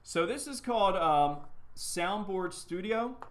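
A middle-aged man with a slightly high voice co-hosts a relaxed live stream. He delivers groan-worthy jokes and reads quiz questions aloud, picked up by a webcam in a small indoor room. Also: slow pace. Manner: corny joke delivery, reads trivia aloud. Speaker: co-host. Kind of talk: casual live-stream chat. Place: small shop room with framed wall display, indoors.

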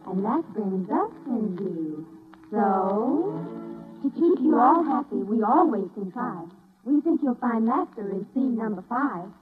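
A woman's voice: fairly high pitch, big pitch swings, unhurried. A woman playing a coy young showgirl who talks in rhyme on a 1930s radio revue. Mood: perky. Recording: tinny 1930s radio recording with music.

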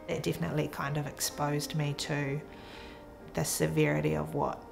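A woman in her twenties with a New Zealand accent, reflecting quietly in a documentary interview. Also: low-pitched, creaky. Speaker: athlete. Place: indoor room with framed photos. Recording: music underneath.

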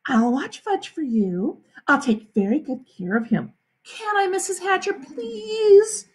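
A woman's voice: fairly high pitch, big pitch swings, a little slow, breathy edge, heavily acted. A woman voicing a bossy, eager know-it-all schoolgirl in a children's storybook. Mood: eager begging.

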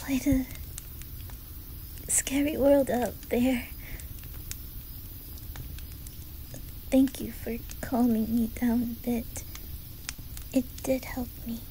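A woman voicing a gentle, timid young boy with an imitated Swedish accent, speaking hushed and hesitantly in an ASMR roleplay. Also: a little high, little pitch movement, a little hiss or hum, poor audio. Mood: shaken, subdued. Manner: hushed, hesitant, halting, often whispery.

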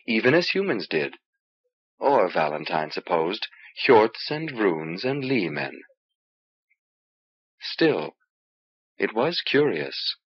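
A man with a husky voice narrating a fantasy novel in an expressive, measured storytelling delivery, on an old cassette transfer. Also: mid-range voice, dull audio. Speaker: audiobook reader.

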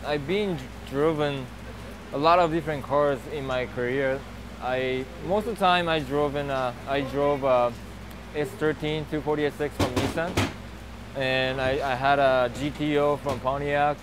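A man in his thirties, a professional drift driver, giving a relaxed and halting outdoor interview on a lapel mic at a racetrack paddock. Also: medium pitch. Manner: relaxed, halting, many fillers. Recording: noisy outdoor racetrack recording.

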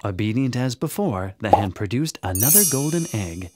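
A man narrating a scripted children's bedtime story in a dramatic, expressive way. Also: lowish voice, normal speed, very noisy. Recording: music under the narration. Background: music.

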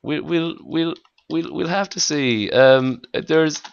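A man's voice: a little high, slow, creaky, clear, resonant tone, no room sound. Giggly young man gaming, dull dry audio. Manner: joking, giggly, playful asides. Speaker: gamer co-host. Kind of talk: casual let's-play chat.

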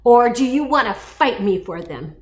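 A woman voicing a grouchy, greedy female ladybug from a storybook, bossy, shouting and itching for a fight. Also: medium pitch, animated, normal speed, echoey room, close to an everyday voice. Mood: angry, demanding. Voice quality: loud, shouting. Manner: pugnacious, blustering.

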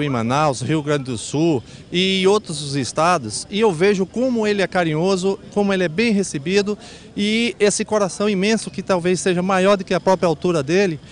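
Higher-voiced middle-aged man. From Salvador, Brazil. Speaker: interviewee giving a tribute. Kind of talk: spoken tribute in a TV interview. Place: city square outdoors at night. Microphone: handheld reporter microphone.

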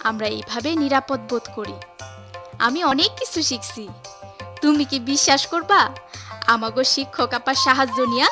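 A woman acts a humble, hopeful servant girl in a cartoon, her voice high and gentle over clearly audible music. She has a rural Bangladeshi accent and narrates with simple earnestness. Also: natural voice. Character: Sara, modest, hopeful young servant girl. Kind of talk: cartoon dubbing, letter narration.

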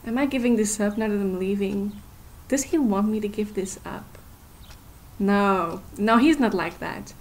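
A woman's voice: medium pitch, measured pace, slight background noise, close to an everyday voice. An actress playing a hurt but determined young woman in an audio drama, confiding and emotional. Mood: anxious, reflective. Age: young adult.

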